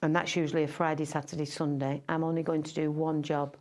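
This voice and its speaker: A middle-aged woman entertainer talks casually about her work, rambling with fillers and restarts. Her voice sits very low with little pitch movement. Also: flat delivery, quick pace, a little mellow. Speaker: entertainer and singer. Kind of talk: casual interview chat.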